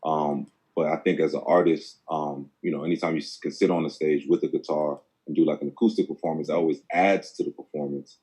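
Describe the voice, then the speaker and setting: Male judge, deep and mellow, halting delivery over a video call. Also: fairly even intonation, slightly rough. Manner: hesitant, many ums. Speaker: competition judge. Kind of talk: giving feedback.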